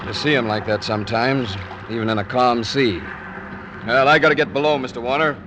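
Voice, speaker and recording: An actor playing a folksy, plainspoken ship's steward officer in a vintage radio drama, talking casually. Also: male voice, medium pitch, a little fast, natural voice. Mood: concerned. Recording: vintage radio, loud sea effects noise.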